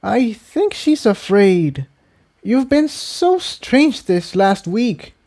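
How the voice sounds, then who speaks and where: A worried female pony from a fantasy storybook, played by a man in a raised voice. The tone is high for a man and gentle, with a hesitant, concerned manner that stays frank. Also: big pitch swings, extremely slow, full character voice.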